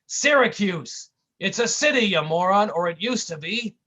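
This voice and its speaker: A bullying, short-tempered comedy tough guy, voiced by a man, snapping orders in old-timey street slang. His delivery is lively, and his voice sounds bright and sharp. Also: sits high, moderate pace, voice pushed up, heavily acted. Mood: gruff, snappy. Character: gruff bullying male slapstick partner.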